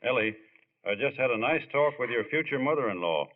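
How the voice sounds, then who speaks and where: An actor playing a young bridegroom who speaks in a straightforward, firm and unpretentious way in a 1940s radio drama. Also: male voice, mid-range voice, animated, fairly quick, lightly acted. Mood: firm, affectionate. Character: plain-spoken, decisive young bridegroom, famous ballplayer. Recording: thin, muffled old radio broadcast.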